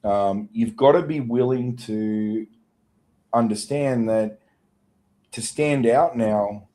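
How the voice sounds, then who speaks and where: Mellow-sounding guy cohosting a podcast, laid back and chatty. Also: mid-range voice, slow pace. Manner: relaxed, conversational, chuckles easily. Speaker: podcast co-host. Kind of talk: casual chat.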